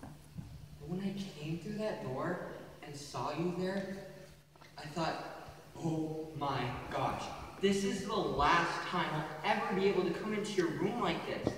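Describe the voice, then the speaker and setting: A man's voice: on the high side, slow. A young man acting a wistful, earnest younger brother in a school stage play, saying goodbye to his sister. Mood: apologetic, sad. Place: school theatre, stage performance. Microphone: distant audience camera. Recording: echoey hall from far off, faint noise.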